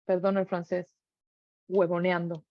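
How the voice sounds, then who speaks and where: A woman's voice: fairly low pitch, flat delivery, measured pace, no echo, highs cut off. A middle-aged woman with a Mexican accent giving an earnest reflective monologue, full of rhetorical questions. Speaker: speaker sharing reflections.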